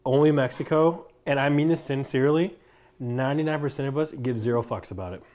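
A man's voice: mid-range voice, brisk, a little mellow. A young American man in casual, emphatic conversation, explaining his view and swearing freely in a home kitchen. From United States. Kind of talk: casual chat.

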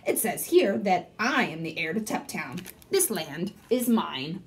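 A woman's voice: on the low side, sing-song, measured pace. A woman doing a sneering rich pig villain. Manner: snorting, growling, sneering. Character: pompous scheming rich male pig villain.

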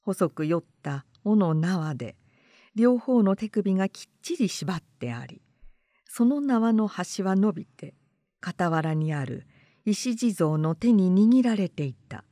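A woman narrator reading literary fiction aloud in a measured, composed manner. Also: mid-range voice, lively intonation, mellow, dry recording, studio quality.

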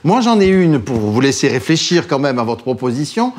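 A man's voice: medium pitch, very animated, moderate pace, darkish tone. A man moderating a panel discussion on an art show as its host. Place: TV studio.

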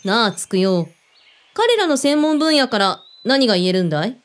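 An actress playing a brusque commanding boss of a covert team in an audio drama, speaking in casual, blunt masculine phrasing. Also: female voice, fairly high pitch, sing-song, normal speed. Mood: probing, wry.

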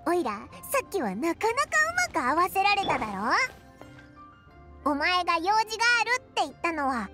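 A woman voicing a small, cheeky, childlike fairy companion in a Japanese game, squeaky and full of exclamations, with rough boyish wording. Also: sing-song, normal speed. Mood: relieved, smug. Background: music.

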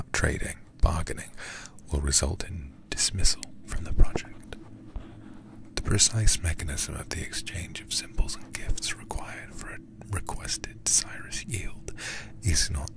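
A man with an extremely low, creaky voice reads a horror game aloud for an ASMR stream. He keeps to a hushed half-whisper, close to the microphone, with careful, deliberate phrasing.

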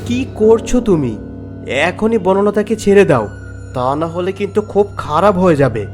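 A man's voice: sits high, big pitch swings, normal speed, darkish tone. A man voicing a stern, righteous young prince in a fairy-tale cartoon, commanding and formal. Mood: angry, accusing. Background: background music.

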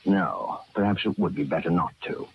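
An actor playing a genteel, menacing killer who gloats with mock courtesy and rambles on, in 1940s radio horror style. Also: male voice, deep voice, expressive, moderate pace, vocal fry. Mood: mock-courteous menace. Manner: rambling, self-satisfied, chuckling, mock-courteous. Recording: dull, noisy old-time radio recording.

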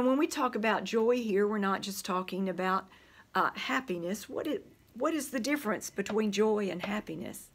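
Slow-spoken older woman teaching scripture. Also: mid-range voice. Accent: Southern US. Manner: slow, deliberate teaching with emphasis on scripture quotes. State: voice still recovering from flu. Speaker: Bible study teacher. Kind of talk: church Bible study lesson. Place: church library with bookshelves, indoors.

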